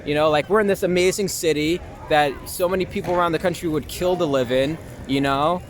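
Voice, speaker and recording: A man's voice: on the high side, fairly quick. A young American man giving casual, somewhat hesitant interview answers in informal language. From Long Island, United States. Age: young adult. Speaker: actor being interviewed.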